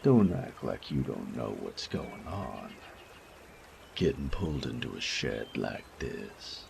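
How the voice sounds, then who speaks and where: A male actor voices a sadistic bandit with a gravel-thick, bottom-of-the-range voice. He drawls slowly in coarse slang, teasing and menacing by turns. It is an audio roleplay drama, with noticeable ambient noise behind him. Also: big pitch swings, darkish tone, heavily acted. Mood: teasing, seductive. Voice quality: gravelly, creaky low voice.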